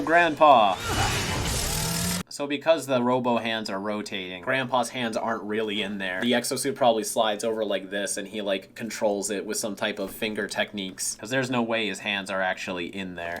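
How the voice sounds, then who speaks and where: A man's voice: lowish voice, fairly bright tone. A man giving casual, nitpicking fan commentary on a cartoon in an enthusiastic, conversational way. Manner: nitpicking, enthusiastic fan talk, some swearing. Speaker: video host.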